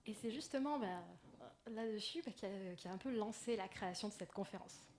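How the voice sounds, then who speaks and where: Soft-voiced woman giving a talk. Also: mid-range voice, brisk. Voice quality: soft, gentle small voice. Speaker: conference speaker, agile coach. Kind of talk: personal conference talk to an audience. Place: indoor conference room. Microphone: stage microphone. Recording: noisy live microphone in a talk room.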